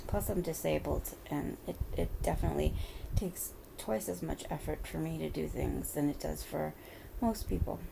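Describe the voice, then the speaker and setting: A hoarse woman in her thirties talking at home in a halting, rambling vlog, full of fillers. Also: low voice, noisy background. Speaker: vlogger. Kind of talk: personal confessional vlog.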